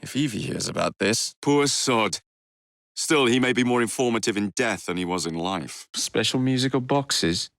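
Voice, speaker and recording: Cocky, animated Victorian English rogue. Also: male voice, fairly low pitch, moderate pace, vocal fry, no room sound, studio quality. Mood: wry, cocky. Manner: flippant, sardonic, theatrical. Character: cocky, roguish young male Victorian gang leader, witty and brash. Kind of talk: video game character voice lines.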